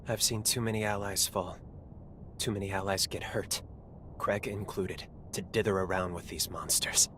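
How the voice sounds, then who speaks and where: An actor voicing a guilt-ridden, reckless young male fighter, speaking earnestly and quietly. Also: sits low, fairly even intonation, normal speed, background noise, close to an everyday voice. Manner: earnest, subdued, confessional. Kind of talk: video game voice acting. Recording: game cutscene with music underneath.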